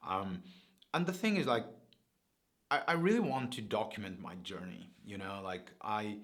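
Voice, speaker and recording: Man, 30s, Portuguese accent, somewhat low voice; earnest vlogger, lots of "like" and restarts. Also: animated, normal speed, fairly bright tone. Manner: earnest, rambling with many fillers and restarts. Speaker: YouTuber. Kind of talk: casual talking-head vlog.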